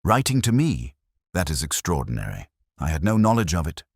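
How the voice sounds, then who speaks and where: A man's voice: deep voice, huge pitch swings, brisk, no room sound, studio quality, exaggerated voice. A man voicing a proud young politician in a mystery audiobook, formal, firm and defensive. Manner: courteous, formal, faintly irritated.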